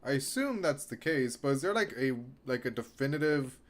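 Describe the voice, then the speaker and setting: A man's voice: mid-range voice, sing-song, a little fast. A man streaming a video game, reading aloud and reacting, often restarting his sentences as he thinks aloud.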